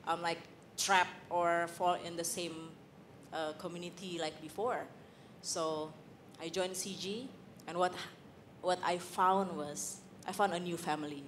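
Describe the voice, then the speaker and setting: Candid Indonesian woman, low voice. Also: slight background noise. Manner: candid, halting, with frequent fillers. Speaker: panel guest. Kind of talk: personal testimony on a church panel. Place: large hall on a stage. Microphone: handheld mic.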